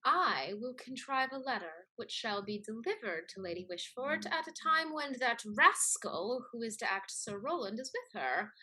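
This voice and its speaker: An actress playing a cool, calculating mistress in a Restoration high-society comedy, speaking crisply and persuasively. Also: female voice, sharp tone, exaggerated voice, rough recording. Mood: cool, conspiratorial. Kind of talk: theatrical Restoration comedy acting.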